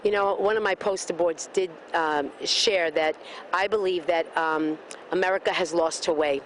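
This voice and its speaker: A woman's voice: fairly low pitch, steady tone, normal speed, a little hiss or hum. Fervent woman speechmaking outdoors. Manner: fervent, speechmaking, rhetorical questions. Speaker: rally protester being interviewed. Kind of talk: impassioned street interview. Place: outdoors at a street rally.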